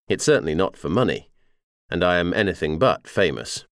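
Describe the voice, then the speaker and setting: A man narrates an audiobook memoir in a low-pitched voice with lively, varied intonation. His reading is measured and clearly articulated, delivered as polished scripted narration in a dry, close recording. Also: no echo.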